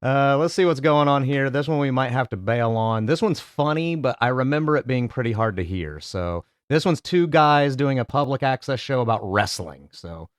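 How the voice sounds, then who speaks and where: A man's voice: medium pitch, animated, normal speed, few pauses, smooth, no echo, clean studio sound. A man hosting a casual comedy reaction podcast with crude, mocking, profane banter. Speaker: podcast host.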